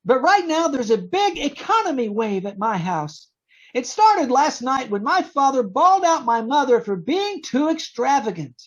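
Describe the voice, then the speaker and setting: A squeaky, high-pitched teenage boy who is cheeky and gushing. His delivery is exaggerated and drawn out, swinging widely in pitch, as in vintage radio comedy. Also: sing-song, normal speed, heavily acted. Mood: pleading, complaining. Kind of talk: old-time radio comedy acting. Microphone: video call.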